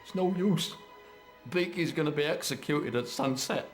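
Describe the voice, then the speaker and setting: A man's voice: a little high, moderate pace, close to an everyday voice. Man acting a downcast groundskeeper, music behind. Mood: dejected. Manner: downcast, folksy. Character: dejected, kindly big gruff groundskeeper man.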